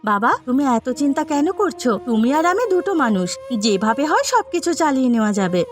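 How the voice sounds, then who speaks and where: A woman playing a gentle, devoted young daughter from a poor village family in a cartoon story, speaking soothingly. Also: high-pitched, big pitch swings, fairly quick, faint music behind. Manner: soothing, tender. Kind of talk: dubbed cartoon story acting.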